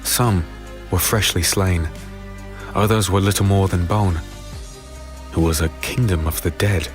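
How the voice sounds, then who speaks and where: A man playing a weary, brooding fantasy hero who narrates his own dark tale in a deliberate, somber, dramatic way. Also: low-pitched, fairly even intonation. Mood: ominous, tense. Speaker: narrator and lead character. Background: music.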